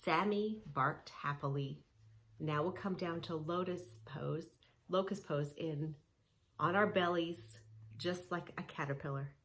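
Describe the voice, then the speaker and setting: A woman's voice: sits low, unhurried, fairly bright tone. A woman reading a story aloud and guiding preschoolers through yoga poses, speaking simply and encouragingly, straight to the child. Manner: simple, encouraging, addressing young children directly. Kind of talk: read-along story with yoga instruction for preschoolers.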